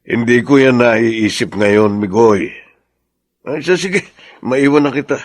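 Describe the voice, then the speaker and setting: An actor playing a modest, kind, aging bachelor farmer in a Filipino radio drama, plain-spoken and unassuming. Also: male voice, medium pitch, slow, natural voice. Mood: reserved.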